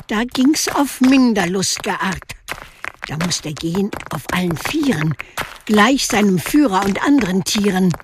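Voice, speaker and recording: An actress playing a storyteller who recites a rhymed legend in a measured storybook way for a radio play. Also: female voice, medium pitch, noisy background. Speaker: narrator. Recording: clear music under the voice.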